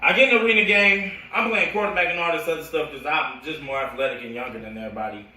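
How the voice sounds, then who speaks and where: American guy telling stories real casual and slangy, super bright punchy voice, echoey room, kinda far from mic. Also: a little high, quick pace. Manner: slangy, animated talk peppered with "like" and "bro". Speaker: football coach telling his story. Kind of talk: casual autobiographical storytelling. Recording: roomy echo, distant from the mic.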